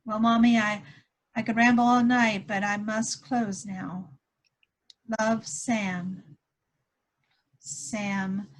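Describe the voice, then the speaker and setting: Woman reading tender soldier's letter, very slowly. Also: mid-range voice, little pitch movement, lightly acted, low-quality recording. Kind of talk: amateur play table read. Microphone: video call.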